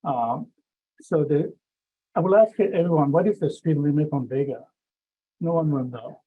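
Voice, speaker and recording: Guy with a low husky voice, halting public comment at a town meeting, sounds tinny like over a phone. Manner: hesitant, many ums and restarts. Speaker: resident speaking at public comment.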